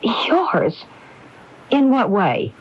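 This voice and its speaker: A woman's voice: a little high, wildly swooping pitch, extremely slow, slight background noise, full character voice. A woman playing an earnest, worried wife who questions insistently in a radio drama. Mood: exasperated. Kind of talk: 1980s radio drama acting. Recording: dull AM radio broadcast recording.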